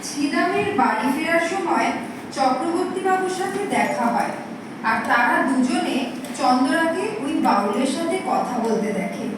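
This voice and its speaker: A woman's voice: high-pitched, animated, measured pace, background noise. A woman giving scripted story narration as the narrator of an audio play. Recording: echoey hall, distant mic, music behind.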